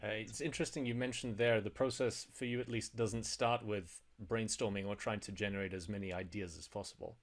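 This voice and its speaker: Low-voiced male podcast host asking questions. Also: steady tone, brisk, few pauses. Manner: conversational, probing questions. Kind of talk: podcast interview and self-promotion.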